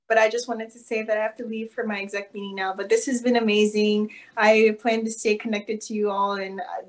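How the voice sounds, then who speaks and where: A woman's voice: mid-range voice, poor audio. A young woman from Nigeria, a student, speaking in an earnest, chatty way with many fillers over a video call. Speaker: student participant. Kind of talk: candid personal account.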